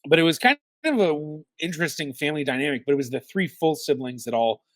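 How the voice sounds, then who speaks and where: Chatty genealogist host with a slightly high voice. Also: male voice, fast, no echo, clean studio sound. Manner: rambling, self-correcting asides. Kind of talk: reaction commentary and narration.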